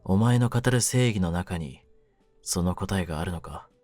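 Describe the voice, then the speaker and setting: A man playing a jaded, domineering yet secretly tender young mafia kidnapper in an audio drama, speaking low in a rough, cool, deliberate way. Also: a little mellow, dry recording, soft background music. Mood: bitter, brooding. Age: young adult. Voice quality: low-pitched. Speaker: voice actor in a situation voice drama. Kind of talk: Japanese situation-voice audio drama addressed to the listener.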